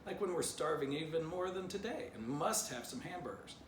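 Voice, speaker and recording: A diplomatic storybook dog who leads the pack read by a man, reassuring and tactful. His pitch is lively. The room echoes and there is clear noise. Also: fairly quick, lightly acted. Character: tactful, encouraging male dog leader. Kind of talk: children's storybook character voice. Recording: distant mic, roomy, noisy.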